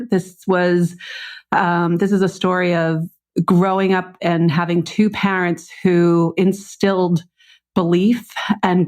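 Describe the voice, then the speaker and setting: A woman's voice: on the low side, darkish tone. A middle-aged woman with a Boston accent, telling personal stories conversationally as a podcast guest. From Boston, United States.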